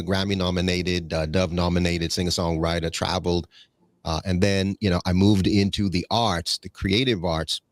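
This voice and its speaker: A man with a very deep, low voice talks through his thoughts as an interview guest. He rambles, restarts often and leans on fillers, and now and then drifts into a preacherly tone. The sound is close and dry, with no room around it. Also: dry recording. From Bahamas. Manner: preacherly, cites scripture. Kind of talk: casual conversational interview.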